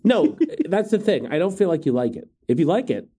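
A man's voice: a little high, sing-song, a little fast, warm tone, breathy edge. A tired man co-hosting a casual comedy podcast, teasing and giggly with a loopy manner. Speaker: podcast co-host.

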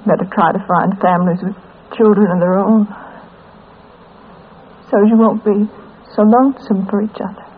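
A woman playing a dying, weary, tender mother in a 1940s radio drama, with weak, halting speech. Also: mid-range voice, fairly even intonation, natural voice. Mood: weary, urgent. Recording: muffled, hissy old radio recording.

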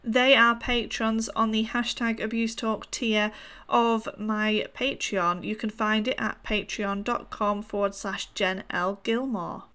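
A woman's voice: on the high side, steady tone, slow, sharp tone. A woman hosting a podcast, reading a prepared introduction and then chatting with a guest in an earnest way, with some fillers. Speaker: podcast host. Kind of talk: scripted intro then casual interview.

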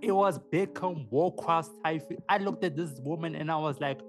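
A man, co-hosting a podcast in casual, slangy, animated chat. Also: on the high side, moderate pace. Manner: animated, slangy, often says "man" and "dog". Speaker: podcast co-host. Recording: music playing underneath.

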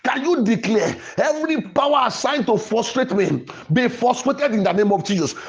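A man preaching fervently in a Pentecostal prayer style, with forceful, repetitive declarations. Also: very high-pitched, expressive, normal speed, lo-fi sound. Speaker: preacher leading a prayer podcast.

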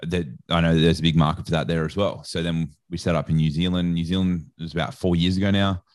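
A man's voice: low voice, flat delivery, quick pace, darkish tone, dry recording, clean studio sound. A man with an Australian accent telling a story casually as an interview guest, in slangy, informal speech full of reported dialogue. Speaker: interview guest, sports nutrition educator.

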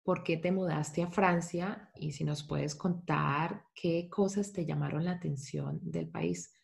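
Low-voiced, creaky woman hosting a podcast. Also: fairly even intonation, unhurried. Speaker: podcast host. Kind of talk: podcast interview conversation.

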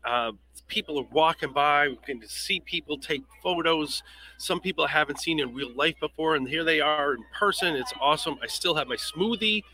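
A man's voice: fairly high pitch, moderate pace, sharp tone, rough recording. A young adult man hosting a podcast, chatting in an upbeat, casual way and asking questions. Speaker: podcast host. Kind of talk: casual live podcast interview.

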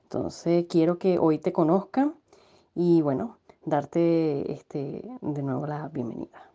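A woman from Venezuela with a Venezuelan accent, hosting a podcast interview and filling pauses with many "eh" and "este" sounds. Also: low voice, dark tone, dull audio, slight background noise. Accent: Venezuelan Spanish. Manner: hesitant, many fillers. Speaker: podcast host. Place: home setup, recording at night.

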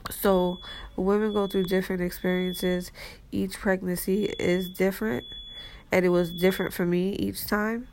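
Stuffy-nosed woman with a gravelly voice. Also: medium pitch, flat delivery, normal speed, darkish tone, a little hiss or hum. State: stuffy nose from a cold. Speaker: guest. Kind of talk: casual personal storytelling.

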